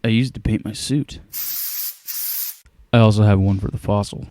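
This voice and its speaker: An actor playing a determined, clever inventor hero in an audio drama, explaining plans in an earnest, matter-of-fact way. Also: male voice, on the low side, steady tone, moderate pace, warm tone, a little hiss or hum, natural voice. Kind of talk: scripted audio drama acting.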